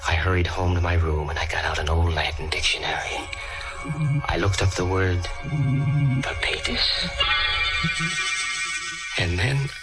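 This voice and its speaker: Casting: obsessed scholar-narrator, deepish male voice, brooding radio-mystery delivery over prominent music. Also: a little slow, long pauses, poor audio. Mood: uneasy, haunted. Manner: dramatic, brooding first-person storytelling. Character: obsessed, scheming chemistry teacher narrating his own story. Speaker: narrator and lead actor in a radio play. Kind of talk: old-time radio mystery acting. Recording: vintage radio recording with loud music behind.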